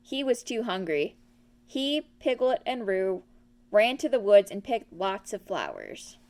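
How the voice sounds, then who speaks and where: A woman's voice: medium pitch, huge pitch swings, unhurried, darkish tone. A woman narrating a children's storybook from a script as the reader.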